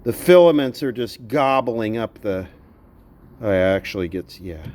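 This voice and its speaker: A man's voice: fairly low pitch, lively intonation, unhurried, darkish tone. A man giving casual, unscripted commentary as he repairs electronics, talking bluntly and thinking aloud in fragments. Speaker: electronics repair video host.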